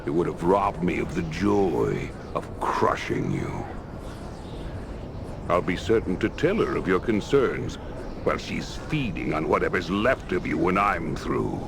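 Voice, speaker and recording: Deep, gravelly sinister henchman. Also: sing-song, unhurried, noisy background, exaggerated voice. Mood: gloating, sneering. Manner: formal, sneering, gloating. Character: sinister male henchman of a dark lord, sneering. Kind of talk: video game villain acting.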